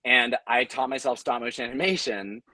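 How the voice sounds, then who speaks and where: A man's voice: medium pitch, brisk, over a noisy crowd. A man speaking casually as a panelist, telling anecdotes in a wry, self-deprecating way with emphatic repetition. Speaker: panelist, audio drama producer and company founder.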